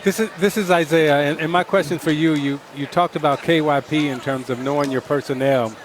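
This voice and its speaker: A man's voice: a little high, steady tone, brisk, background noise. A man on a sports panel asking a detailed question, stumbling over and repeating words.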